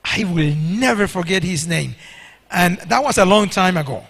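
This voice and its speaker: A man's voice: high voice, expressive, normal speed, gravelly, fairly bright tone. A man in his sixties whose first language is Spanish, preaching a sermon with stories and asking the congregation questions. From Spanish-speaking country. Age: 60s. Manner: storytelling, asks the congregation questions. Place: large church hall. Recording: long hall reverb.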